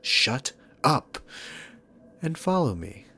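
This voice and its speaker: A man's voice: mid-range voice, big pitch swings, takes it slowly, sharp tone, breathy edge, heavily acted. A man voicing a dominant, sarcastic demon king villain in an ASMR roleplay, talking to the listener with mocking, theatrical condescension. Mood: stern, commanding.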